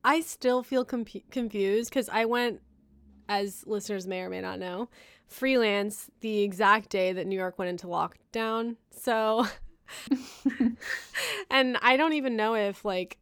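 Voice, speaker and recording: A woman's voice: mid-range voice, slow, clear, resonant tone, no room sound. A woman hosting a podcast, chatting casually with her guests, laughing often and filling her talk with "like" and "um.". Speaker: podcast host.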